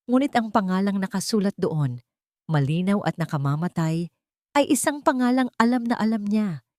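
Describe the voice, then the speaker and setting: A woman reads a dramatic story in a slightly low voice. Her delivery is measured and suspenseful, with pauses for weight. The sound is dry, with very little room in it. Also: a little mellow, no room sound. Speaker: story narrator. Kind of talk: dramatic scripted storytelling narration.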